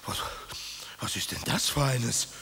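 An actor playing a fretful, doting tsar who stammers and gushes in a radio play. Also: male voice, medium pitch, lively intonation, unhurried, vocal fry, noisy background. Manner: flustered, stammering and gushing.

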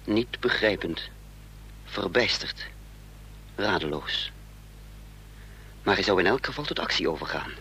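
An actor playing a troubled man caught up in intrigue, narrating his own story with measured drama in a radio play. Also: low-pitched, animated, creaky, pushed and bright, full character voice. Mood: grave. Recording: faintly noisy, humming radio play recording.

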